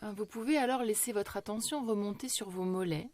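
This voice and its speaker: Calm, slow-speaking woman guiding meditation. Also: mid-range voice. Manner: slow, measured delivery with long pauses; calm, soothing, gentle. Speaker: yoga nidra meditation guide. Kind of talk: guided relaxation meditation.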